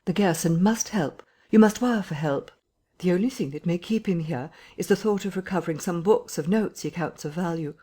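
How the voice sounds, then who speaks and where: A woman's voice: fairly low pitch, a little fast, mellow, breathy edge, voice pushed up. Woman doing a frantic guy barking orders, voice pushed way up and hard, now and then dropping to a hiss. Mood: urgent. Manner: urgent, pressing, breathless commands. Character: agitated man, urgent and insistent.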